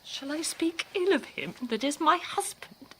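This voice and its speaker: An actress playing a passionate young bride in classical radio drama, with a theatrical, impassioned verse delivery. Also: female voice, high-pitched, expressive, unhurried, a bit bright, slight background noise, poor audio. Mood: indignant, grieving.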